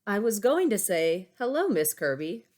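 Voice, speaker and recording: A woman's voice: medium pitch, big pitch swings, normal speed, darkish tone, voice pushed up, natural voice. A woman voicing a nervous, polite young schoolboy from a storybook, speaking awkwardly. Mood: awkward politeness.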